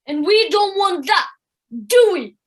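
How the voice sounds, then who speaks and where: A woman's voice: very high-pitched, animated, measured pace. A woman playing a dim, greedy villain's sidekick in an audio play, with a gormless manner. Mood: gloating, dim. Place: living room, recorded over video call.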